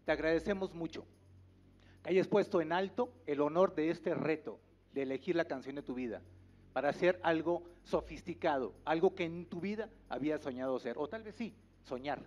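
A middle-aged man giving a solemn, deliberate, florid speech into a handheld microphone. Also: on the high side, a little mellow. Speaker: academy director. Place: seated in TV studio audience. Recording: dull sound, faint music behind.

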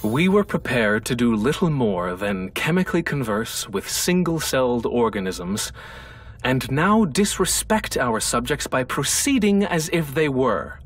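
A man's voice: medium pitch, very animated, recorded from a distance. A man playing an earnest, philosophical space station scientist, speaking formally in audio logs for a sci-fi game. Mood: sorrowful reflection. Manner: formal, measured, contemplative. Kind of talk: scripted audio log monologue for a sci-fi game.

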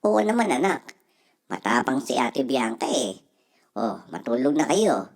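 A woman playing a gentle, reassuring mother who explains patiently to young children in a storybook. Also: a bass voice, very animated, unhurried, exaggerated voice. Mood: patient explaining.

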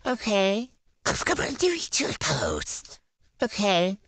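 A woman's voice: mid-range voice, wildly swooping pitch, takes it slowly, a bit bright, no room sound. A woman voicing characters in a game video with playful improvised banter, giggly and boastful, full of teen slang. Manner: giggly, silly, bragging, talks in teen slang.

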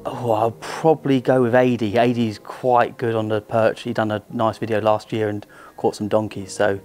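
A man answering interview questions as an angler. He talks in a brisk, chatty way on a lapel mic indoors. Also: fairly low pitch, flat delivery, darkish tone. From Lincolnshire, England. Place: indoor room.

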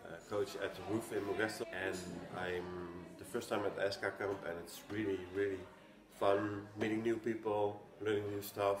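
A man in his forties, a softball coach, speaking casually. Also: fairly low pitch, level tone, moderate pace, a crowd in the background. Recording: echoey room.

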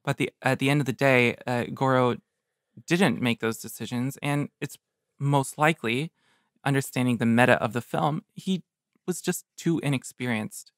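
A man with an average-pitched voice speaks as a film podcast panelist. His phrasing is measured and deliberate, and the sound is very dry. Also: dry recording. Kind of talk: casual film discussion.

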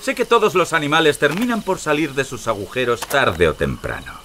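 An actor playing a gloating sheriff, taunting and menacing in a theatrical audio drama. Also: male voice, on the high side, sing-song, fairly quick, background noise. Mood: mocking. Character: gloating, sneering villain sheriff hunting outlaws. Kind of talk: dramatized audio fiction acting.

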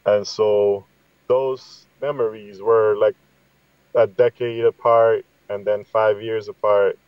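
Man, middle-aged, from Guatemala, lowish voice, conversational storytelling, podcast guest, slightly dull sound. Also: level tone, slow, smooth, no room sound. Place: inside a parked vehicle.

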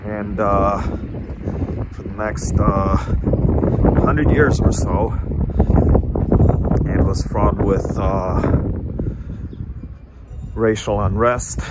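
Slow, low-voiced man on a walking tour. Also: steady tone. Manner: unhurried, many filler pauses. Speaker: travel vlogger. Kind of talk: casual walking-tour narration. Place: outdoors on a small-town street. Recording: outdoor street noise.

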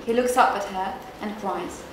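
A woman performing a play reading as a gentle storyteller, in slow, simple storybook phrasing. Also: mid-range voice, lightly acted. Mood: wistful. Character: gentle storyteller of a fairy tale about a dog. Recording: very echoey room, clear noise.

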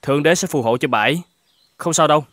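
Man acting humble older shepherd, higher-pitched. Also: fast talker, dry recording, lightly acted. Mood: kind, gentle. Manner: polite, gentle-spoken. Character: kind, humble older shepherd, hospitable, fearful under threat. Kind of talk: animated folk-tale dubbing.

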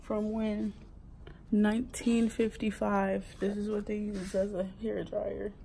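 A young woman talks slowly into a phone held at arm's length, her voice gravelly with fry. She giggles often and slips into whispers as she chats to her viewers. A faint hiss of noise sits under her voice. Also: medium pitch, flat delivery, mellow. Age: young adult. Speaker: vlogger. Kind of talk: casual vlog chat. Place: indoor museum galleries.

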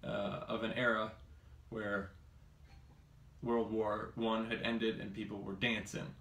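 A man's voice: lowish voice, level tone, slow, fairly bright tone. A man in his thirties teaching xylophone at home, musing aloud with hesitant fillers as he demonstrates. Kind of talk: casual demonstration lesson. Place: home kitchen, indoors. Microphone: camera across the room. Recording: roomy kitchen sound from a distance. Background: xylophone playing.

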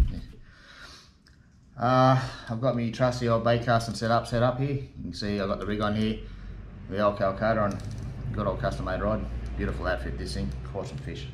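Aussie guy in his 40s-50s, kinda low voice, chatty how-to in his garage. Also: a little hiss or hum. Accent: Australian. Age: middle-aged. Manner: relaxed, chatty, with fillers and matey asides. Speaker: fishing channel host. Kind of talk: casual how-to demonstration. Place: garage workshop at a table, indoors. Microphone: camera in front at desk distance.

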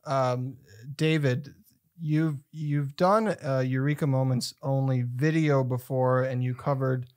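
A man's voice: mid-range voice, steady tone, slow pace, darkish tone. A young man hosting a casual interview in a small studio, asking short questions. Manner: brief prompts and affirmations. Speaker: host. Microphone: studio mic on boom arm, headphones on.

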